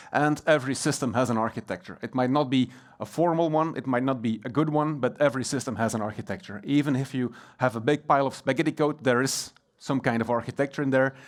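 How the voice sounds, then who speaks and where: A middle-aged Belgian man with a Belgian accent giving a technical conference talk, relaxed and plain, with frequent ums and uhs. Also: medium pitch. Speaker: conference speaker. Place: conference hall.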